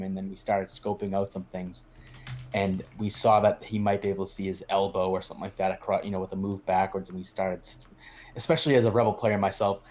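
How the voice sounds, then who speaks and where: Male commentator, lower voice, chatty and rambling. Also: a little mellow. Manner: rambling, many restarts. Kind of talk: post-game discussion.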